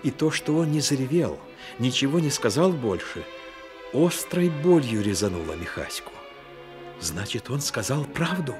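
A man narrating a Soviet radio play as a grave, measured storyteller. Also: medium pitch, very animated, over music. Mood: grave, lyrical. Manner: measured, literary delivery. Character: grave male storyteller of a childhood tale.

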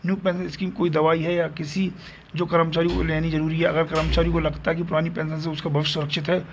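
A man's voice: on the high side, little pitch movement, fast, gravelly, slight background noise. A man narrating a scripted news video, reading aloud and now and then stumbling over words.

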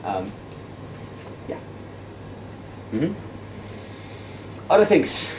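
A man's voice: a little high, big pitch swings, drawn-out words, crowd noise. A man in his thirties whose first language is Dutch, giving a casual, self-deprecating debate workshop lecture with lots of restarts and fillers while he walks and gestures in a classroom. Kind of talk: interactive lecture. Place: classroom with projector screen, indoors. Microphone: far camera. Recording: echoey classroom from far off, noisy.

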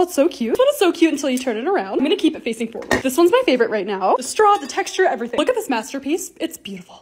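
A young woman with a slightly raspy voice, narrating her home projects in a chatty, excitable vlog voice-over. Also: high-pitched. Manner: chatty, excitable, quick asides. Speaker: lifestyle vlogger.

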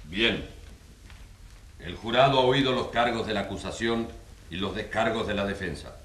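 A man's voice: fairly low pitch, normal speed, fairly bright tone, close to an everyday voice. An actor playing a solemn, authoritative male judge in a radio drama, speaking formally and declamatorily. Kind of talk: radio drama courtroom speech.

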